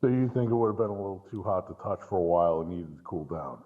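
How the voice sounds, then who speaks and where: A man's voice: sits low, animated, fast. A man chatting casually about films in an informal, blunt way. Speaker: podcast co-host or guest commentator. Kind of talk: casual film discussion. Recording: dull, lo-fi audio.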